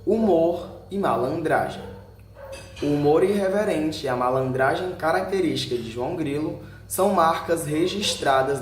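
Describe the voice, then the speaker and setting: A Brazilian man reading a scripted narration aloud in short headed sections, occasionally stumbling over a word. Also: fairly high pitch. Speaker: video narrator. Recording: roomy echo with faint background noise.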